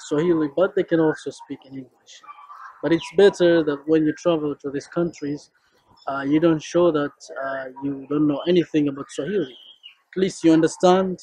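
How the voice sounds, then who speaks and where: A man's voice: a little high, warm tone, slight background noise, poor audio. A young man with a Kenyan accent, a friendly Swahili teacher introducing his course to camera on an outdoor rooftop, talking loosely with many fillers.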